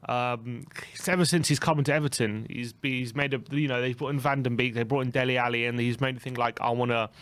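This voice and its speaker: A man's voice: mid-range voice, steady tone, fast talker, few pauses, a bit bright, dry recording. A man, co-hosting a casual football chat, talking in a rambling way with many restarts. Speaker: co-host.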